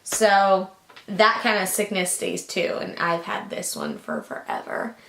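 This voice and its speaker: A young woman vlogging casually to camera, rambling with lots of fillers, her voice raspy and groggy from a lingering cough. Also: lowish voice, wildly swooping pitch, a little slow, a bit bright. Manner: chatty, rambling, lots of likes and ums. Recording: echoey room.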